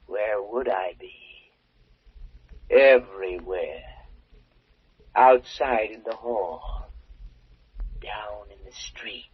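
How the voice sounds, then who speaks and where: A man playing Death as a taunting, sinister presence in 1940s radio horror, husky and deliberate. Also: on the low side, exaggerated voice. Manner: slow, deliberate, menacing. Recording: muffled old radio recording.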